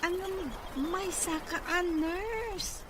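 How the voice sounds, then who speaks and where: High, slow Filipino godmother. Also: heavily acted. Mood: coaxing. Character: affectionate, coaxing visiting godmother. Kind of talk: Ilocano radio drama acting. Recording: noisy ambient background.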